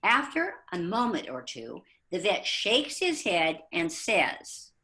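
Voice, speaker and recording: Slow, lively woman narrator. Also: on the low side. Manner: slow, expressive storytelling. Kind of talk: readers theater storytelling.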